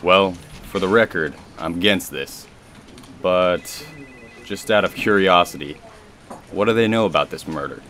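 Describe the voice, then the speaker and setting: A man's voice: low voice, a little slow, a bit bright. A man playing a worried, stern federal agent handler in a radio drama, firm and exasperated. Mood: reluctant.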